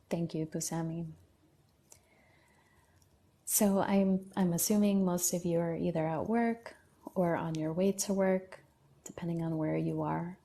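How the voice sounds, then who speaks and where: Slow, gravelly-voiced woman livestreaming. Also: fairly low pitch, warm tone. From Lebanon. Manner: reads viewer comments aloud and answers them. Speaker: livestream host. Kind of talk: casual livestream chat reading comments.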